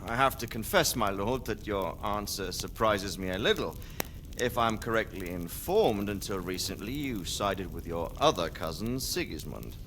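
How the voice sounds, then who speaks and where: A courteous medieval knight in a game drama. The voice is low but lively in its inflection, composed and drily witty, with faint background noise. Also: male voice, normal speed. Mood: politely doubtful. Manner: polite, composed. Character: courteous, dry-witted medieval knight, a father. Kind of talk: dramatic video game acting.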